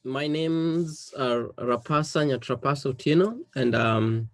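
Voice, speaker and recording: A man's voice: medium pitch, unhurried, no echo. A man from western Kenya with a Kenyan accent, a native speaker of Dholuo, answering interview questions with frequent ums and repeated words. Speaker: musician interviewee.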